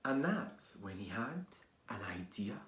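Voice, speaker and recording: A man reading a children's storybook aloud as a teacher, expressively, with dramatic pauses and hushed asides. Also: mid-range voice, very animated. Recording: roomy, echoing indoor sound.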